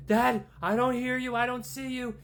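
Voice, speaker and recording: A man voicing a terrified young woman fleeing through dark woods, shrieking and pleading in panic. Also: extremely high pitch, flat delivery, normal speed, a little hiss or hum, pitched above the performer's own voice. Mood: panicked. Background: music.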